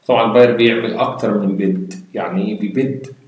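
Egyptian Arabic male lecturer, quick delivery, slightly low voice, explains step by step and quizzes the viewer, very echoey room. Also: darkish tone. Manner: explains step by step, mixing English terms, asks rhetorical questions. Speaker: computer science instructor. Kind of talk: screen-recorded lecture.

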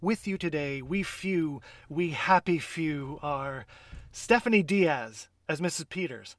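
Man, slightly higher voice, playful chatty host of a live audio play. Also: animated, takes it slowly, no room sound. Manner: playful, chatty, mock-whispering asides. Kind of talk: scripted pre-show narration. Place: closet or desk at home.

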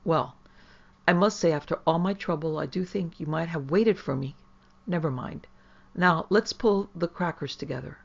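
Storybook mother role, read by a woman: affectionate yet firm, brisk, genteel, on a dull recording. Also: low-pitched, gravelly. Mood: reproving. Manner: brisk, coaxing, reproving. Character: fond, firm, upper-class mother.

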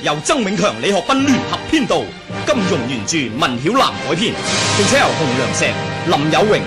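Male radio announcer, Hong Kong Cantonese, high voice, reading credits over loud music. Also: sing-song, a little fast.

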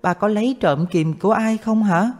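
A man's voice: high-pitched, normal speed, a little mellow, pitched above the performer's own voice, close to an everyday voice. A man voicing his young schoolgirl daughter, who earnestly lectures her father. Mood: scolding. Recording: long hall reverb, faint music.

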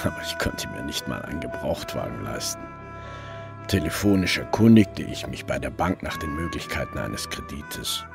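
An actor playing a weary, gruff middle-aged male detective who narrates his own story in a radio crime drama. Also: a bass voice, very animated, normal speed, loud music behind the voice, full character voice. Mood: brooding.

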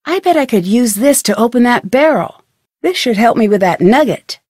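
A woman playing a curious, determined young detective in a video game, thinking aloud in crisp speech with an American accent. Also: on the high side, lively intonation, normal speed, darkish tone, no room sound, clean studio sound. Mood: curious, focused.

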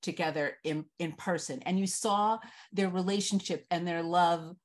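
A woman's voice: on the low side, steady tone, moderate pace. A middle-aged woman talking casually as a panel host, recorded indoors over a video call. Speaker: festival host and panel moderator. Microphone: video call mic.

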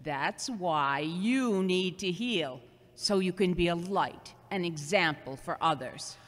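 A woman playing a loving, gently scolding seventy-year-old mother in an audio drama, earnest and halting. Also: on the low side, lively intonation. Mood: earnest, admonishing. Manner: earnest, sermonizing, halting delivery. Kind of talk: amateur audio drama acting. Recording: long hollow reverb.

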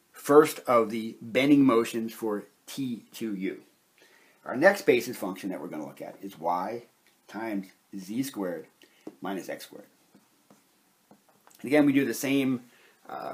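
A man with a slightly husky voice giving a methodical, step-by-step explanation as a science instructor at a whiteboard. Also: medium pitch. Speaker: chemistry instructor.

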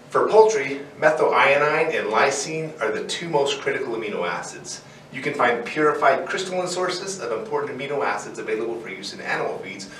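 A man's voice: medium pitch, fairly bright tone. A man in his forties, seated co-host, reading a scripted explainer in a plainspoken way, lifting his voice on key terms. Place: indoor studio set with barn-wood backdrop. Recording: roomy echo, distant mic, faint noise.

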